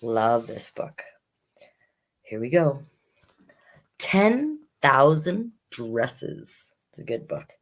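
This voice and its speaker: A woman hosting a children's storytime, reading picture books aloud expressively and adding chatty asides. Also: extremely low pitch. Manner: expressive, unhurried, comments on the story as she reads.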